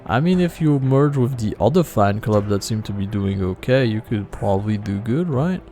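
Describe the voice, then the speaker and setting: Guy streaming a game, wry muttered comments, gravelly voice, bit of music behind. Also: on the low side, expressive, moderate pace, warm tone. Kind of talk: casual game commentary and reading aloud.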